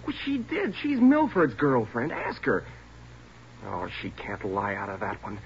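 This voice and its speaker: An actor playing a frightened twenty-year-old burglary suspect in a 1940s radio drama, pleading, evasive and stammering. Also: male voice, medium pitch, huge pitch swings, a little slow. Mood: indignant protest. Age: young adult. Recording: noisy, muffled 1940s radio recording.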